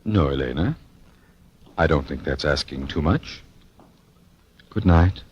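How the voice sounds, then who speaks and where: An actor playing a wry, exasperated American hero in 1940s radio style, with a flippant, indignant manner. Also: male voice, rumbling low, expressive, slow, darkish tone, highs cut off, a little hiss or hum, exaggerated voice. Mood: awkward. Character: wry, exasperated American man mistaken for royalty.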